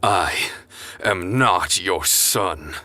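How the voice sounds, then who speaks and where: Man playing a sharp, vengeful young son. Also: low voice, lively intonation, extremely slow, dry recording, exaggerated voice. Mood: bitter defiance. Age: young adult. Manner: terse, accusing. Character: defiant, vengeful young son, bitter and resolute. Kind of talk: student radio drama acting.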